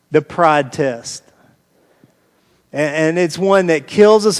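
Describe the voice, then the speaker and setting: Casting: male American pastor, high-set voice, informal sermon delivery with emphatic repetition, recorded in a reverberant hall. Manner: informal, repeats phrases for emphasis. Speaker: pastor preaching to a congregation. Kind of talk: conversational sermon with scripture reading. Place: large reverberant room, preaching live.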